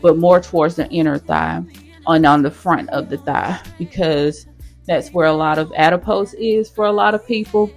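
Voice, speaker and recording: A nurse chatting casually with her viewers in a how-to vlog, talking straight to them as y'all, her voice hoarse. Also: sits low, fairly even intonation, normal speed, faint music behind. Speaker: nurse sharing her own experience.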